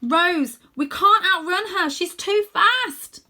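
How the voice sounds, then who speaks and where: A frightened young child from an adventure storybook, voiced by a woman reader, crying out in a high, bright voice. The delivery is urgent and breathless, pushed up loud with alarm. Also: animated, slow pace, exaggerated voice. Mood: panicked, urgent.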